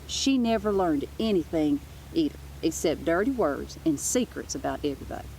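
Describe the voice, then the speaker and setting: A woman with a slightly low voice narrates a children's book aloud. Her storytelling is informal, and there is faint noise in the background. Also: animated, normal speed, mellow, rough recording. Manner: informal, with asides.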